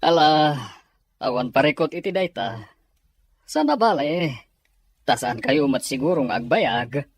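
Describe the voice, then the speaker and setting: An easygoing boss and father, acted by a man, has a husky voice in a very dry recording. He is indulgent and agreeable, played in radio-drama style. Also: fairly high pitch, sing-song, a little slow, no room sound, exaggerated voice. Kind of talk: amateur radio-drama acting.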